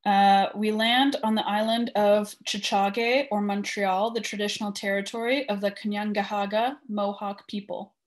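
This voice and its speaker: A woman's voice: mid-range voice, flat delivery, moderate pace, few pauses. A woman with a Canadian accent, a guest speaker at an online meeting, reading a prepared personal statement over a video call.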